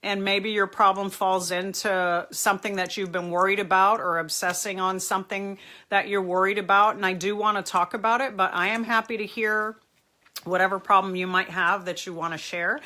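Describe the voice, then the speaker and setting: Chatty, slightly raspy woman host. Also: medium pitch, fairly even intonation, moderate pace. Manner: chatty, warmly greets viewers by name. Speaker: therapist hosting a live Q&A. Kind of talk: casual live-stream chat. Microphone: phone or webcam, live stream.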